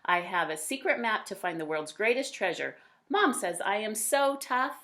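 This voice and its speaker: A woman's voice: mid-range voice, lively intonation, normal speed, a bit bright. A woman voicing an imaginative, boastful little boy from a storybook, declaring his dress-up adventures emphatically. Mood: boastful, excited. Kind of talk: children's storybook character reading.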